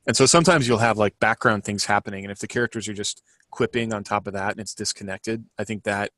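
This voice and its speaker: A man with a fairly low voice talks casually on a writers' podcast. He thinks aloud, circling and restarting as he rambles. The sound is very dry, with no room to it. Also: fast talker, a bit bright, no echo. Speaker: fiction writer and podcast panelist. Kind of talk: casual podcast chat about writing.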